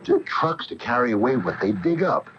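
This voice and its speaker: A muffled old radio drama recording carries a very animated male voice. An actor plays an uneasy small-town store owner, baffled and prone to stammering. The pitch swings widely as he talks. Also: moderate pace, close to an everyday voice. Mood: puzzled, intrigued. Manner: stammers when rattled. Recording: muffled, noisy 1980 radio broadcast tape.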